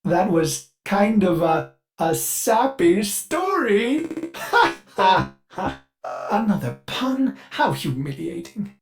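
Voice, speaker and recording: A man's voice: high-pitched, big pitch swings, slow pace, full character voice. An actor voicing a polite, eager male ship AI servant in a video game, with a formal, mannered delivery. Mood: amused. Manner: mannered, formal, refers to itself in third person. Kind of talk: scripted game character voice lines.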